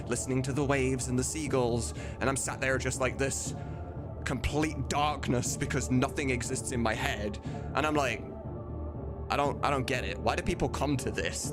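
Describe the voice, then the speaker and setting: A man streamer talks in a casual, candid ramble, as if answering viewers. His voice sits at an average male pitch. Clear music plays behind him.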